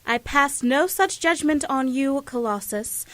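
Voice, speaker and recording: An actress playing a proud, imperious superheroine who speaks formally and haughtily in an audio drama. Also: female voice, sits high, expressive, measured pace, no echo. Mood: haughty. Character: proud, imperious female weather-goddess superhero.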